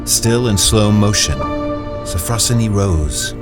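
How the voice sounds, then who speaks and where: A man with a very low voice narrates an audio drama in a measured, descriptive style. A music score runs steadily under his narration. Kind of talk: scripted narration.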